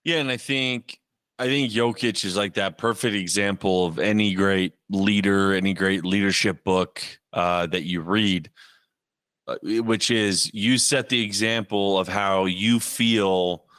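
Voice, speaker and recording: A man co-hosting a casual sports podcast, joking and animated. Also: lowish voice, measured pace, dry recording, clean studio sound. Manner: joking, animated, puts on silly voices. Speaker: podcast co-host.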